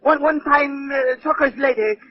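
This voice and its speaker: An actor playing a frantic, pleading servant henchman who speaks halting broken English in old radio drama style. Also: very high voice. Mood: desperate pleading. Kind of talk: 1930s-40s radio drama acting. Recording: noisy, muffled old radio recording.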